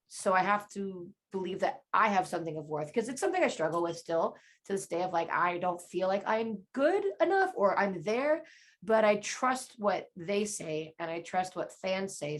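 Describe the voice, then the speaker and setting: A woman with a naturally low voice, talking candidly and rambling as a band guest in a casual interview. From Southern California. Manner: rambling, candid, lots of fillers.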